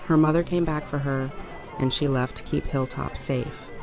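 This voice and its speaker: A woman acting in an audio drama as a hardened survivor, dry, terse and sardonic but caring, with a creaky voice. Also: a bass voice, steady tone, normal speed, over music. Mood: wry, resigned.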